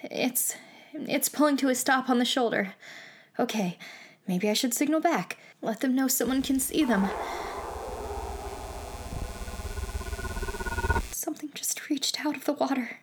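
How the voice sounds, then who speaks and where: Actress as a high-voiced, mischievous radio traffic reporter. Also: female voice, moderate pace, close to an everyday voice. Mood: mock alarm. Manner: teasing, flippant, theatrically wry. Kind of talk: audio drama acting, chatty radio broadcast.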